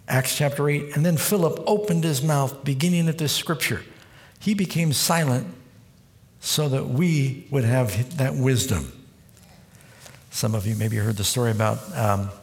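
A man delivering a sermon, quoting scripture and telling stories with acted-out dialogue in a folksy way. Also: medium pitch. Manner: folksy storytelling, quotes scripture, acts out dialogue. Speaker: preacher. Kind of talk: sermon with storytelling. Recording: long hall reverb.

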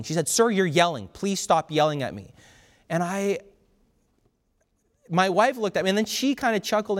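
Pastor, male, from Brazil. Loud, emphatic, fervent delivery, fairly high voice, long hall reverb. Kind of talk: sermon.

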